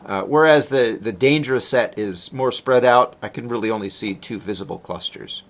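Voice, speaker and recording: A man's voice: lowish voice, normal speed. A man giving a technical talk as a presenting researcher, explaining step by step in a conversational way and posing questions as he goes. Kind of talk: technical lecture with explanatory narration of slides.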